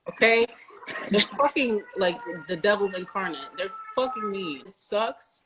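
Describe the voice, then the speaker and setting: A woman's voice: mid-range voice, lively intonation, a little slow, noisy background. A young woman with an American accent, hosting a casual interview in a blunt, informal way. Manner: blunt, informal, swears freely. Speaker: podcast host, interviewer.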